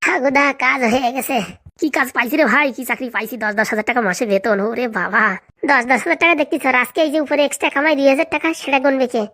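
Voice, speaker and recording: A woman's voice: high voice, bright, forceful tone, full character voice. A woman voicing an eager, fawning young male henchman in a comedy cartoon, chattering boastfully with a rural Bengali accent.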